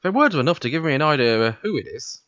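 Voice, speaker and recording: A man narrates a visual novel in the first person. His mid-range voice moves around expressively in pitch. The recording is dry and slightly muffled. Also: sing-song, fast talker, fairly bright tone, dry recording. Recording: dull, muffled home recording.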